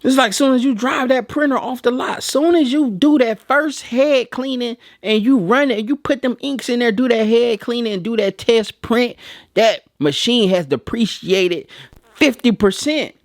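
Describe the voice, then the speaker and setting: A man's voice: extremely high pitch, moderate pace, no room sound, studio quality. An American man giving casual, unscripted opinions as a video host, blunt and colloquial, repeating phrases for emphasis. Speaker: video host and print equipment reviewer.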